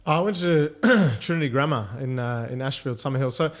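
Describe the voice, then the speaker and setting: A man's voice: mid-range voice, fairly quick. A man with an Australian accent, a podcast co-host telling stories in a casual, rambling way with lots of fillers. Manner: rambling, filler-heavy storytelling. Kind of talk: casual chat.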